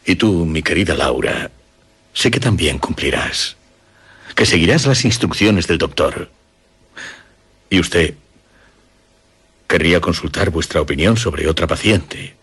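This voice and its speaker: A man playing a courtly, affectionate father in a radio drama, speaking formally and expressively in a husky Castilian Spanish voice. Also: low-pitched, lively intonation, measured pace, pauses often, fairly bright tone, exaggerated voice. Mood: courteous, concerned.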